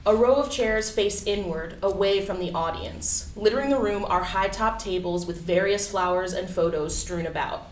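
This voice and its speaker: A woman's voice: medium pitch, normal speed, few pauses. A woman reading stage directions as scripted narration for a play. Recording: echoey, noisy room, distant from mic.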